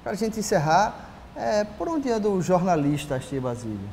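Brazilian male TV host, somewhat high voice. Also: big pitch swings, moderate pace, a little mellow. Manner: brief affirming murmurs, friendly questions. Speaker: TV interview host. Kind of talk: interview questions. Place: large empty auditorium with red seats. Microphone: lapel mic. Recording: long hall reverb, faint hiss.

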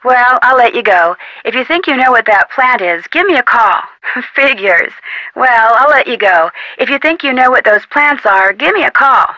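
Young American female sleuth, game dialogue. Inquisitive, polite, chatty; slightly high voice, dry close sound. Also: lively intonation, normal speed, sharp tone, no room sound, poor audio. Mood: casual, friendly. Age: young adult. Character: friendly, inquisitive young woman amateur detective. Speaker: video game character voice actor. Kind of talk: scripted adventure game dialogue, phone-call delivery.

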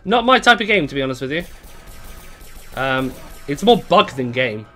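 A young man with a hoarse, fading voice, chatting casually to viewers as he live-streams a game at home on a desk mic. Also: medium pitch, sing-song, normal speed, sharp tone, faint music behind. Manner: chatty, greets viewers, reads chat aloud. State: hoarse, voice going.

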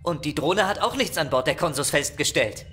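A man playing a loyal, earnest crewman in a sci-fi horror radio drama, crisp and direct. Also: fairly high pitch, animated, brisk, bright, forceful tone, soft background music.

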